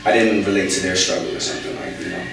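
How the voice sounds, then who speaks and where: A young man with a fairly low voice talks haltingly, restarting often and leaning on fillers. He sits well back from the mic. The room gives his voice a strong, hollow echo. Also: level tone, vocal fry. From United States. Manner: hesitant, many fillers and restarts. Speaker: interviewee. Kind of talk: casual interview conversation. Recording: echoey room, voice from far off.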